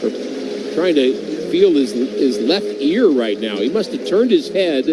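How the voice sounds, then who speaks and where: A man's voice: a little high, sing-song, measured pace. Radio color guy for baseball, chatty, kinda rambling, noisy feed. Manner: conversational, thinking aloud with fillers. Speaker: color commentator. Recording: noisy broadcast audio.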